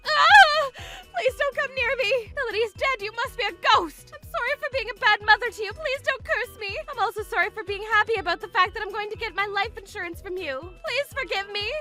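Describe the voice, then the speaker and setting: A woman playing a shrill selfish mother. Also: big pitch swings, brisk, hardly any pauses, bright, forceful tone, soft background music, heavily acted. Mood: terrified. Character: selfish scheming young mother, cheating wife. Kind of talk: animated story voice acting.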